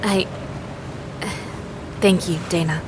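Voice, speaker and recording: A woman playing a polite, literal, curious puppet who talks in a precise, formal, measured way in an audio drama. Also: fairly low pitch, fairly even intonation, noisy background.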